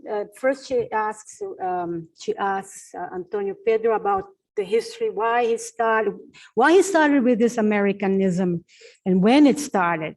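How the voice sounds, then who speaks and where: A woman's voice: mid-range voice, darkish tone. A woman with a Portuguese tongue presenting a book she translated, talking in a chatty way with many fillers and restarts. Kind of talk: informal book launch talk. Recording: distant mic.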